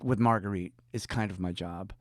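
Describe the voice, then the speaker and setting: A man's voice: low-pitched, normal speed, dry recording, studio quality. An older man from Georgia with a Southern US accent, telling anecdotes casually as an interview guest, starting and stopping and acting out bits of dialogue. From Deep South, Georgia, United States. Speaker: professional storyteller, guest.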